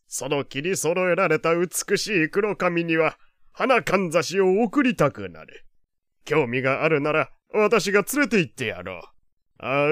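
A wealthy elderly gentleman in an audio drama. His fairly high voice rises and falls freely as he speaks in grand, archaic phrases with a teasing courtesy. The sound is close and dry. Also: no room sound. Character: elderly male wealthy patron, genteel, teasing, old-fashioned. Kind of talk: Japanese audio drama acting.

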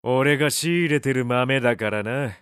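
An actor playing a gruff middle-aged cafe owner in an audio drama, blunt and offhand with cheeky retorts. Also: male voice, medium pitch, normal speed, fairly bright tone, no echo, studio quality, lightly acted. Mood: retorting. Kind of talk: Japanese audio drama acting.

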